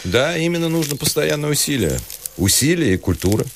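A man's voice: a little high, big pitch swings, fairly quick, slight background noise. A man acting an earnest, educated city professor in a radio play, articulate and insistently questioning.

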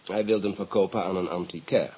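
Low-voiced, flustered police inspector. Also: male voice, fairly even intonation, brisk, darkish tone, breathy edge, dull audio. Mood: hesitant, reporting. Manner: hesitant, evasive, slipping English phrases into Dutch. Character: cagey, flustered male Scotland Yard police inspector. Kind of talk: radio drama acting. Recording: noisy radio play recording.

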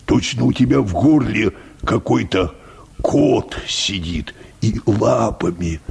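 Slow, gravelly deep bass choir singer. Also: male voice, darkish tone, full character voice. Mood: plaintive. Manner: wordy, hesitant, deferential, repeating polite filler phrases. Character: meek, rambling, hard-drinking church choir bass, a patient. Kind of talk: radio play acting of a comic short story.

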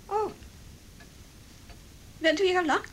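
A woman's voice: very high-pitched, takes it slowly, fairly bright tone, heavily acted. An actress playing a polite, uneasy younger woman in a radio drama, hesitant and deferential.

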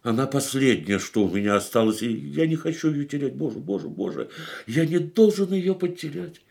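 A man hurt by the woman leaving him. His medium-pitched voice is brooding and reproachful, with bitter pleading in the dramatic style of a radio play. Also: expressive, normal speed, creaky, darkish tone. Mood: despairing. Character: wounded, bitter man pleading with departing lover. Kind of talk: Russian radio play acting.

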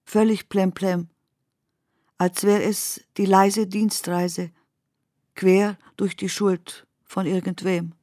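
A woman recites poetry for a radio drama in a mid-pitched voice. Her delivery is slow, deliberate and measured. The sound is dry, with hardly any room around it. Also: flat delivery, warm tone, dry recording.

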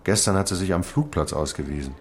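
An actor playing a weary police chief inspector who thinks cases through aloud in a radio drama. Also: male voice, deep voice, fast talker, slight background noise. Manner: thoughtful, musing, talks it through.

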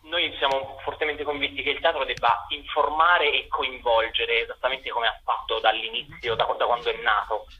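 A man's voice: medium pitch, little pitch movement, moderate pace, few pauses, muffled recording. A young man answering interview questions as a theatre director, in an earnest, wordy and articulate way. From Italy.

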